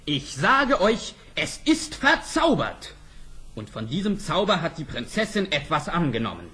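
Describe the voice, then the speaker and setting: A bold young prince from a storybook record. His voice sits high and swings widely in pitch. He declaims slowly and ardently, with theatrical pride. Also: huge pitch swings, pushed and bright, full character voice. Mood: measured, scheming. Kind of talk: German audio fairy-tale drama acting.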